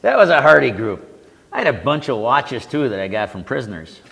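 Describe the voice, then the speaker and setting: An older veteran bluntly recounting war memories, with salty language. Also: male voice, mid-range voice, big pitch swings, brisk, creaky, fairly bright tone. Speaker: veteran panelist. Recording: reverberant community TV studio.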